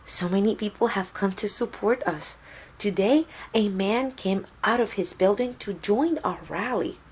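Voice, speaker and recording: Woman reading as an earnest, unhurried loving mother. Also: mid-range voice, slightly rough, poor audio. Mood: earnest, proud. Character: loving, proud mother, a janitor and activist.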